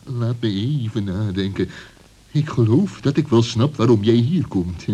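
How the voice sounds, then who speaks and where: A sly, greedy fox from a children's radio play , acted by a man. The voice is husky and swoops up and down as it threatens and mocks. The delivery is slow and savouring. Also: mid-range voice, lively intonation, warm tone. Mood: suspicious, scoffing. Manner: menacing, mocking, swooping delivery with chuckles. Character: sly, greedy, bullying male fox villain.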